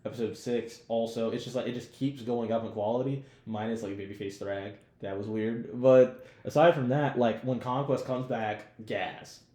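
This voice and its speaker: A man's voice: mid-range voice, moderate pace, hardly any pauses, dark tone, echoey room. A man co-hosting a podcast in casual chat, talking informally with slang and swearing. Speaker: podcast co-host. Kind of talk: casual chat, TV show discussion.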